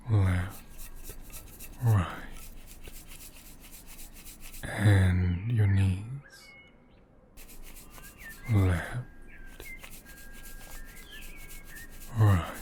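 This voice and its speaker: A man performs a tender, caring male caretaker in an ASMR roleplay, soothing a fragile doll. His voice is low and mellow, soft and often whispered. He speaks very slowly, with careful, reassuring hesitation. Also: slight background noise.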